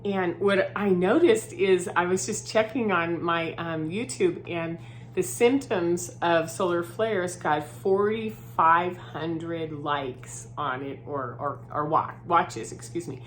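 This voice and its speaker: A woman's voice: on the low side, slow pace, a little hiss or hum. A woman from Southern California with a head cold and a groggy voice, chatting in a casual vlog from her new home and often tagging "right?" onto her points. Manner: chatty, filler-heavy, often adds "right?". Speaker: vlogger.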